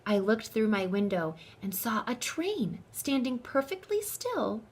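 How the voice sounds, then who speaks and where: A woman speaking as a teacher in an instructive, expressive children's read-aloud style, enunciating clearly. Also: on the high side, unhurried.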